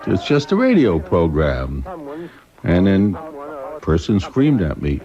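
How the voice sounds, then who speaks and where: A man recounting memories in an interview, telling them as an animated anecdote. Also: deep voice, takes it slowly, highs cut off, crowd noise. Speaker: interviewee, former radio publicity manager. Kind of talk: animated spoken reminiscence.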